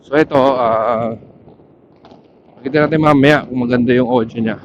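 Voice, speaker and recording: A man with a Filipino accent vlogging casually while riding a motorcycle, pausing often to search for words, speaking into a helmet intercom mic. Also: medium pitch, fairly even intonation, highs cut off, background noise. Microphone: helmet intercom headset.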